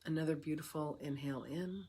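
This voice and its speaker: Meditation guide over clear insect noise. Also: medium pitch, warm tone. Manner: soothing, unhurried, with long pauses.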